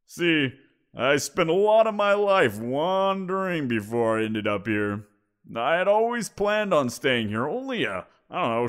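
A man's voice: on the high side, fairly bright tone. A man voicing a giant wolf creature in an audio roleplay, teasing and amused, chuckling as he talks. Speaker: voice actor.

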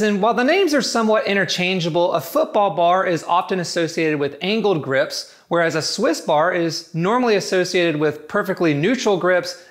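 A man's voice: fairly high pitch, a bit bright. A man giving a scripted, matter-of-fact review of home gym barbells. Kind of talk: scripted product review narration.

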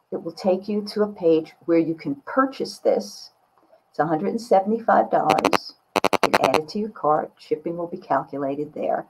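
A woman in her sixties chatting casually and expressively on a video call, with a slightly husky voice. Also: low voice, low-quality recording. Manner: expressive, exclaiming. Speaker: guest artist. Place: small indoor studio room with bookshelves.

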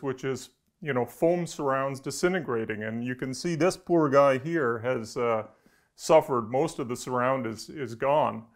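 A middle-aged man, explaining informally to camera as an engineer, in a halting, conversational way with repeated words. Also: medium pitch. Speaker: audio engineer presenting. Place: indoor studio with backdrop.

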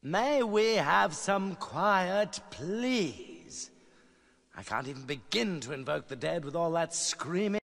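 A pompous necromancer bishop from a video game, pitched high and unhurried. He is grandiose and condescending, his words ringing in a long reverb. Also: lively intonation, vocal fry, fairly bright tone, heavily acted. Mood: irritated. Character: pompous scheming villainous bishop, a necromancer cleric. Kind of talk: theatrical video game voice acting. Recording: long hall reverb with music behind.

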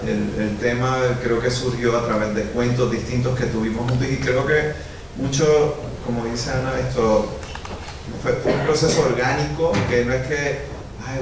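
A Puerto Rican man in his thirties speaks at a book presentation through a handheld mic, rambling and filling his pauses. The sound comes from a distance, in a very echoey theater. There is clear background noise. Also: medium pitch. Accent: Puerto Rican Spanish. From Puerto Rico. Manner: rambling, many fillers like "o sea". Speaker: visual artist and musician. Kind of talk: casual talk at a book presentation. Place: small theater with an audience. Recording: echoey hall from far off, noisy.

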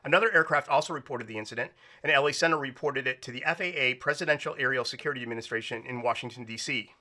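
A man's voice: mid-range voice, very fast, pushed and bright. A man reading out a report and explaining it informally as narrator. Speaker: narrator presenting air traffic recordings.

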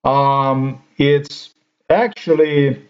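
Man, mid-pitched, angry halting rant, dull home-recorded sound. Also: fairly even intonation, clear, resonant tone. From Slovenia. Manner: indignant, halting, swears. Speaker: commentator. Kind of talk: ranting screen-recorded commentary.

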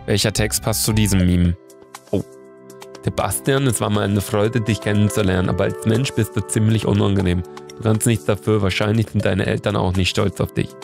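A young man with a cold chats excitedly into a close mic while he plays a quiz game. He reads each answer out and swears casually when he gets one wrong. Music plays clearly underneath his voice. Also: on the low side, moderate pace. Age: young adult. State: has a cold. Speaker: streamer playing a meme quiz. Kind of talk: casual gaming commentary. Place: indoor room at a desk. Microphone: studio mic near the face. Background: background music.

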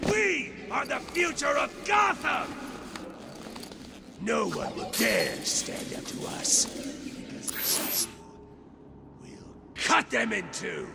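A man playing a grandiose, vengeful crime boss in a video game, gravelly, theatrical and menacing. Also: very high voice, huge pitch swings, slow pace, noisy background, heavily acted. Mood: furious rallying. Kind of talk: video game cutscene acting.

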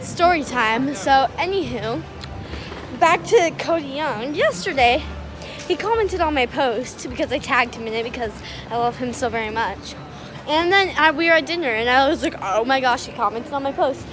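A teenage girl vlogging on a phone held at arm's length in a busy restaurant, gushing and giggly as she talks fast and excitedly. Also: high-pitched, music in the background. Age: teens. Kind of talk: excited casual vlog chat. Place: indoor restaurant at a competition venue.

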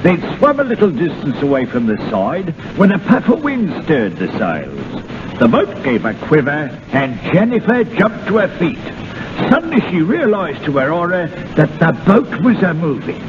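A man's voice: on the high side, wildly swooping pitch, moderate pace. An actor playing an eager, deferential male secretary in a 1930s radio drama. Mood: dramatic reading. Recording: noisy, muffled 1930s radio recording.

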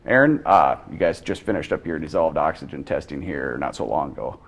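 Middle-aged male interview host, deep gravelly voice with a lively lilt, steady short questions. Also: fairly quick. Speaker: webcast host. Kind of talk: studio interview. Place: studio, seated before backdrop.

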